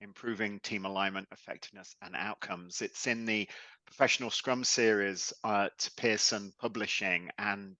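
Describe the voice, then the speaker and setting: A man hosting a podcast interview in a relaxed, chatty way, with plenty of fillers. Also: lowish voice, no room sound, low-quality recording. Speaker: podcast host. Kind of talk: casual interview chat.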